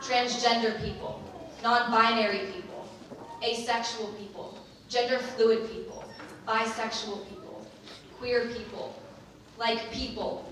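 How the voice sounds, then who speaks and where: A woman's voice: on the high side, steady tone, fairly bright tone. Echoey, distant young woman reciting slam poetry. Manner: impassioned, deliberate, emphatic delivery with dramatic pauses. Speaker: student slam poetry performer. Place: large echoing room, live stage performance. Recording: echoey hall, distant mic, faint noise.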